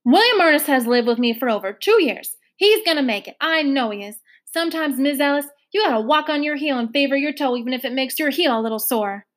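A woman's voice: high-pitched, lively intonation, a little fast, few pauses, voice pushed up. A woman voicing a stubborn older foster mother who speaks in folksy country dialect, in a storybook reading. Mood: earnest. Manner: bellowing, folksy dialect, emotional.

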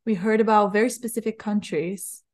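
A woman's voice: medium pitch, fairly even intonation, normal speed, a little mellow. A woman hosting a podcast, casually asking a guest curious follow-up questions. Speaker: podcast host. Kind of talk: casual interview chat.

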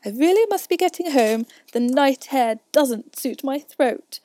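A woman's voice: sits high, lively intonation, unhurried, dark tone, pitched above the performer's own voice. A woman voicing a fussy, timid mother bird from a storybook in an anxious manner. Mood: prim, fussy.

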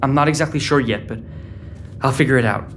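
A man's voice: mid-range voice, a little fast, fairly bright tone, slight background noise, close to an everyday voice. A young man playing a stubborn, secretive teenage boy on the run in an audio drama. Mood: evasive, reassuring. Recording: dramatic music underneath.

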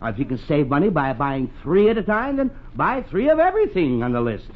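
Blustery penny-pinching American father, 1940s radio comedy; highly animated delivery, thin tinny broadcast sound. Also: brisk. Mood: insistent. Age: middle-aged. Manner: blustering, pompous, sputtering. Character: blustery, exasperated, penny-pinching middle-aged suburban father.

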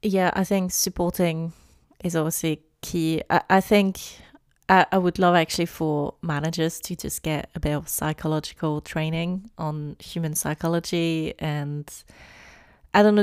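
Low smooth-voiced woman, earnest, chatty, dry close sound. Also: darkish tone, no room sound. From France. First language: French. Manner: earnest, emphatic, repeats starts. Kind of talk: casual discussion.

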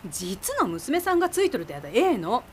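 An actress in a radio drama playing a brisk, kindly, bossy island innkeeper with a Mikawa accent from Aichi. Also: female voice, on the high side, wildly swooping pitch, slight background noise. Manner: brisk, homely, nudging. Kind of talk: Japanese radio drama acting.

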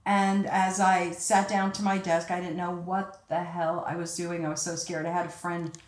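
An older woman with a slightly low voice tells her life story in a chatty, laughing way, acting out the things people said to her. Her voice bounces around a roomy, echoey space. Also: normal speed. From Egypt. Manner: chatty, laughing, animated quoting. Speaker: guest, casting director. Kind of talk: casual interview storytelling.

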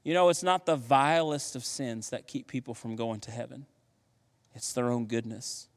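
A man preaching a sermon as pastor, lively and playful, with slangy asides. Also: medium pitch, normal speed, in a large hall.

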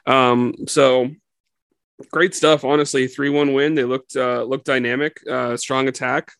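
Gravelly-voiced podcast co-host, casual. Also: male voice, medium pitch, unhurried, dry recording. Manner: relaxed, laughs often, many fillers. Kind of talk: casual sports chat.

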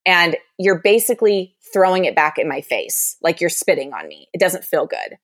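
A woman's voice: fairly low pitch, sing-song, normal speed, no room sound, clean studio sound. A young adult woman telling a candid, exasperated dating story with lots of "like" in a casual chat.

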